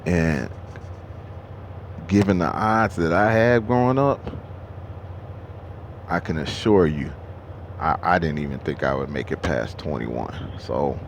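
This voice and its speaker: Deep gravelly man, 30s, trucker, profane rambling vlog talk, noisy truck stop behind. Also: takes it slowly, long pauses. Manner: rambling and profane, with many restarts and fillers. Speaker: truck driver. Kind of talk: casual monologue to camera.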